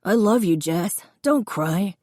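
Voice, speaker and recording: A woman's voice: medium pitch, animated, slow, warm tone, dry recording, studio quality. A woman voicing a big, simple-hearted young man in an audiobook, open and eager. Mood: loving. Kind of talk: audiobook character dialogue.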